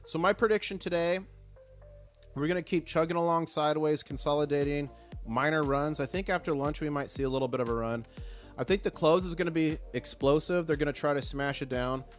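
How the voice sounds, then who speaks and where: Hoarse, excitable live stream host. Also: male voice, fairly high pitch, brisk, faint music behind. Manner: excitable, chatty, reads chat aloud. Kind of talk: casual live stream chat.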